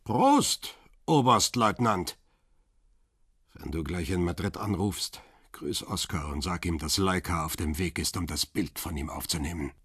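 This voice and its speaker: A man playing a hard-bitten, sardonic paparazzo in a radio crime drama, terse and husky. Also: low-pitched, lively intonation, measured pace, fairly bright tone, dry recording, studio quality, exaggerated voice. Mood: sardonic, menacing.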